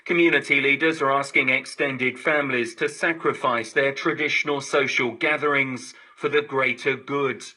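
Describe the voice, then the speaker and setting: Bright, mid-pitched male news reporter. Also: fairly even intonation, normal speed, hardly any pauses, low-quality recording. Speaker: news correspondent. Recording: digital shortwave radio broadcast reception.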